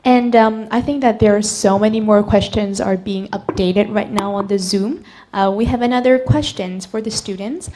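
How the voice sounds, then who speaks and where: A woman's voice: medium pitch, moderate pace, mellow, slight background noise. A woman moderating a webinar Q&A, politely reading out questions on a video call. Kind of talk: hosting Q&A.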